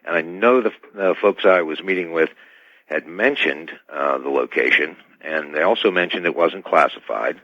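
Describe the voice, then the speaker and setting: A man speaking as a guest in a radio interview, choosing his words carefully and formally, with hesitant, measured phrasing. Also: sits low, dry recording. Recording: dull band-limited radio line.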